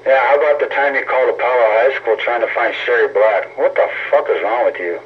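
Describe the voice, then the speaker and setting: A man's voice: mid-range voice, fast. A middle-aged American man arguing over CB radio in a sarcastic, taunting, profane manner. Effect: CB radio transmission. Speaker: CB radio operator. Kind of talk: argumentative radio banter. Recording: tinny CB radio signal, faint static.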